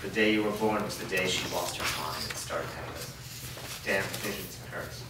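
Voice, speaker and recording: A man's voice: low voice, steady tone, normal speed, natural voice. A man acting a weary, guilt-ridden blacksmith father in a staged fantasy drama reading. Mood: bitter grief. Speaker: actor. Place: echoey room, recorded from a distance at a staged reading. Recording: echoey room, distant mic, clear background noise.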